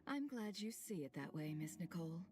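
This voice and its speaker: An actress playing a guarded, aloof wanderer with a bitter past in a video game, talking in a cool, dry, sardonic way. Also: female voice, lowish voice, big pitch swings, a little fast. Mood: sardonic, dismissive. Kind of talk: scripted video game voice acting.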